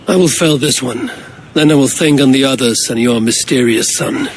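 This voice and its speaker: A man's voice: medium pitch, moderate pace, a little hiss or hum, natural voice, rough recording. A man playing a stoic, blunt Viking warrior in a video game cutscene, speaking tersely and directly. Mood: determined.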